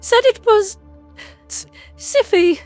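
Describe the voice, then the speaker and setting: A man voicing a small, frightened female rodent-like alien, squeaky and croaky, stammering weakly in an audio story. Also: faint music behind. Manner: halting, stammering.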